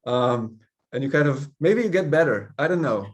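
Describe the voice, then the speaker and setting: A man, a Hebrew speaker, speaks as an interview guest. His voice sits in a middle register, and he answers in a thoughtful, measured way, pausing on frequent uhs. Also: medium pitch, expressive. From Israel. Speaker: guest, company CEO. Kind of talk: casual interview chat.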